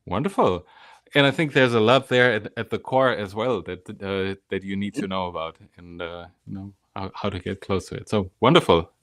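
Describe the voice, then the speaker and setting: A man's voice: fairly low pitch, moderate pace, fairly bright tone, no echo, clean studio sound. A man hosting a live show, presenting with upbeat energy and welcoming his guest. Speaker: host.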